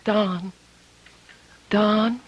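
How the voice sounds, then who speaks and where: An actress playing an anxious, devoted wife in an old-time radio drama, halting and full of feeling. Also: female voice, medium pitch, fairly even intonation, lo-fi sound, slight background noise. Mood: tender. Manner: emotional, halting, drawn-out delivery.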